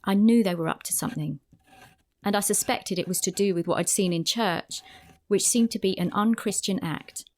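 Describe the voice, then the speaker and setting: A woman's voice: medium pitch, moderate pace, lightly acted. A woman playing a prim, naive and nosy young penitent, earnest in tone, in a period audio drama. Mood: confiding. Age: young adult. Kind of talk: period audio drama acting, narration and dialogue.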